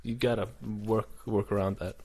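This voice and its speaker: A man's voice: lowish voice, steady tone, normal speed, dark tone. A man co-hosting a podcast, talking in a loose, rambling way with restarts and casual swearing. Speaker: podcast co-host. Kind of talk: casual chat.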